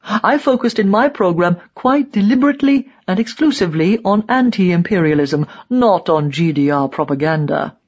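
A woman's voice: on the low side, animated, normal speed, a little mellow, husky, highs cut off. A woman voicing a stubborn, angry old East German male propagandist in an audiobook, emphatic and sarcastic. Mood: defiant. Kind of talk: dramatised audiobook dialogue.

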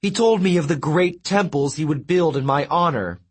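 A man's voice: fairly high pitch, normal speed, slightly rough, pitched above the performer's own voice. A man voicing a vain, melodramatic male sun god who wails and pities himself. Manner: wailing, theatrical and self-pitying.

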